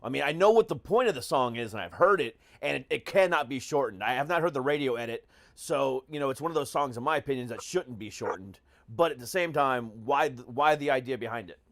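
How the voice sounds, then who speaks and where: A man's voice: medium pitch, animated, a little fast, fairly bright tone, dry recording. A man hosting a podcast, chatting informally in a casual interview style and swearing freely. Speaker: podcast host. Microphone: video call.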